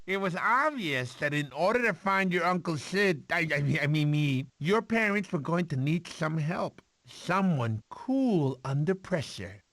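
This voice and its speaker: Goofy, doting sloth with swooping pitch. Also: male voice, expressive, measured pace, hardly any pauses, noisy background. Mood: dramatic storytelling. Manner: rambling, fussy, doting storyteller. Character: goofy, sentimental male sloth uncle telling baby a story. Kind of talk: animated film character narration.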